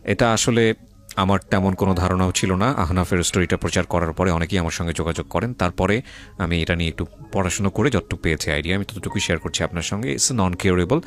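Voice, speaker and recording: A man's voice: low voice, quick pace. A man with a Bangladeshi accent hosts a radio interview, mixing English phrases into his Bengali and steering with questions and recaps. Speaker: radio show host. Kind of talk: interviewing a guest about a life story.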